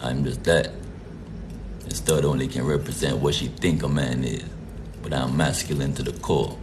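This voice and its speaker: A man's voice: rumbling low, steady tone, moderate pace, noisy background. A man from Jamaica hosting a casual live stream, greeting viewers by name in a laid-back, repetitive way. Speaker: livestream host.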